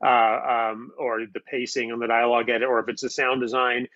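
A man's voice: mid-range voice, level tone, fairly quick, a bit bright, no echo, highs cut off. A man chatting in an interview, wordy and self-critical, thinking aloud. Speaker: audio drama writer. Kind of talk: casual interview chat.

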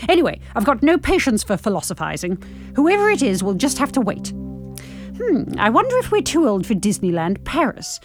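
A woman playing a grumpy, impatient, sardonic older woman, telling her story in the first person in a wry, expressive way. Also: on the high side, a little fast, faint music behind.

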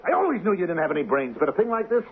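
An actor playing a nervous, bullying crook who snarls threats and then turns wheedling, in a radio drama. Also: male voice, high voice, wildly swooping pitch, rapid-fire, over a noisy crowd. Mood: berating. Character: nervous, bullying male crook waiting on a heist payout. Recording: tinny lo-fi radio broadcast.

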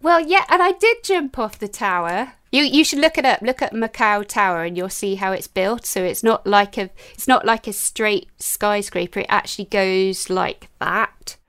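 A woman with a Welsh accent, chatting as a guest in a relaxed interview and laughing easily. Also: mid-range voice, moderate pace, hardly any pauses, smooth. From Wales. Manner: soft-spoken, laughs often. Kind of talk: casual interview chat.

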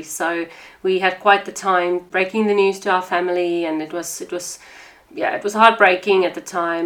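A woman's voice: fairly low pitch, fairly bright tone. A woman in her thirties with a South African accent, hosting a podcast in a chatty, conversational way with frequent fillers. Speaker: podcast host. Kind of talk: casual podcast monologue.

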